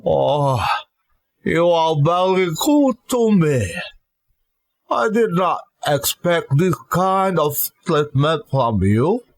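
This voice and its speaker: Guy playing a sick grumpy old boss, very slow halting talk, highish for a man. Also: expressive, gravelly, no echo, exaggerated voice. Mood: humbled, grateful. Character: ailing, bad-tempered elderly male employer softening into gratitude. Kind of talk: scripted drama acting.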